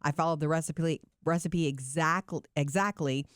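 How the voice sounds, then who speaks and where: Warm, reflective woman podcast co-host. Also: sits low, steady tone, moderate pace, clean tone, no room sound, studio quality. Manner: warm, reflective, laughs easily. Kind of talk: casual chat, reading listener letters aloud.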